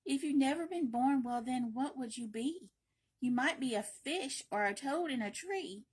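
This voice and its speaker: A woman's voice: high voice, lively intonation, normal speed, slightly rough. A woman voicing an exuberant, bossy birthday bird from a children's storybook, urging and exclaiming emphatically. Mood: playful.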